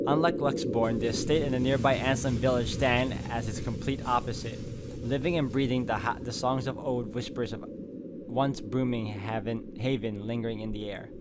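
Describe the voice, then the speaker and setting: A man playing through a visual novel and reading its narration aloud in an expressive storytelling manner. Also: mid-range voice, normal speed, heavy background noise. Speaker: video game walkthrough player. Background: game music.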